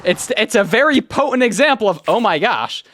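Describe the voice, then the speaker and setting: A man's voice: sits high, very animated, a little fast, a bit bright, no room sound. A man in his twenties telling a personal story casually, in a rambling, conversational way, often quoting himself as he goes. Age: 20s. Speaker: YouTuber.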